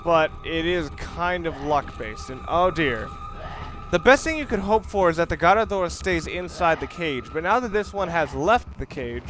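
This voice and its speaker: A man giving casual, explanatory let's play commentary, halting and rephrasing as he plays. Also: on the high side, background noise. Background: video game audio and music.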